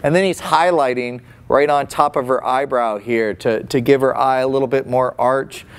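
Chatty man explaining face painting, smooth voice. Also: mid-range voice, steady tone, moderate pace. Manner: chatty, folksy, many restarts. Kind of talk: casual demonstration commentary.

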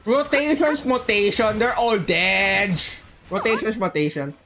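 A woman's voice: mid-range voice, a little slow, background noise. A woman livestreaming a video game, chatting casually and bantering with viewers, often repeating her words and phrases. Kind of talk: casual gameplay chat with viewers.

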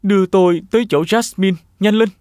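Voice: medium pitch, fairly even intonation, a little fast, darkish tone, no echo, natural voice. An actor playing an earnest, polite young male hero in a children's cartoon dub, speaking formally. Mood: determined. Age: young adult.

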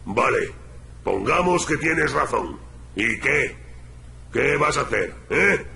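Gravelly, forceful gorilla bodyguard. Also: male voice, mid-range voice, very animated, slow, roomy sound, slight background noise, full character voice. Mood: defensive. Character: big defensive gorila boxer turned bodyguard, tough. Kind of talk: video game voice acting.

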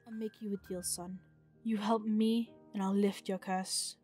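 A woman playing a commanding, scheming goddess mother with a measured, imperious delivery in a fantasy game roleplay. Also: medium pitch, level tone, darkish tone, faint music behind, close to an everyday voice. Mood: persuasive.

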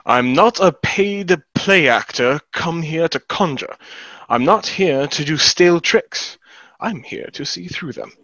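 A man's voice: on the high side, expressive, takes it slowly, bright, forceful tone, no room sound, full character voice. A young man in a play reading, acting a hot-headed, proud, indignant young businessman, recorded on a headset. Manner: brash, indignant. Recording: dull headset video-call audio.

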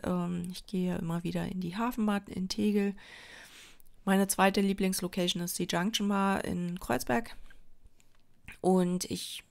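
Chatty woman with a gravelly edge. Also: mid-range voice. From Berlin, Germany. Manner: chatty, rambling, many fillers and restarts. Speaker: crafting vlogger. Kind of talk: casual chat while crafting. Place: indoors at a table.

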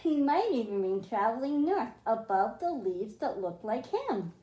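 A middle-aged woman with a slightly high voice leads a storytime for young children. She goes slowly, in simple and deliberate phrases. The indoor room around her adds a clear echo. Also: big pitch swings, warm tone. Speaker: children's librarian, storytime host. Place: small library room with bookshelves, indoors. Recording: roomy, echoing indoor sound.

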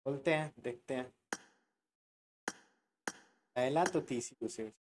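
A man's voice: mid-range voice, slow pace, noisy background. A young man giving casual gaming commentary. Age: young adult. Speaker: gaming YouTuber. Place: small room indoors.

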